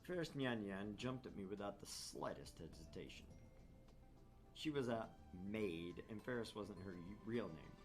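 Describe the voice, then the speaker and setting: A man's voice: on the low side, lively intonation, moderate pace, soft background music. A man hosting a gaming video, reading a visual novel aloud and adding casual, joking commentary.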